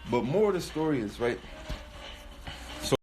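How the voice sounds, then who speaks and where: A man's voice: mid-range voice, big pitch swings, normal speed, a little mellow, faint music behind, rough recording. A man in his thirties with a cold, sniffling a little as he thinks aloud in a casual livestream monologue, loose and rambling with many fillers and restarts. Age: 30s. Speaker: rapper streaming live.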